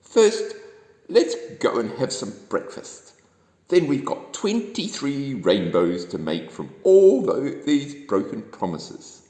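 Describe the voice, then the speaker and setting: Man playing kindly moon man, storybook, New Zealand accent, hearty, hospitable, very animated, echoey. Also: medium pitch, slow, voice pushed up. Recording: echoey room with long reverb.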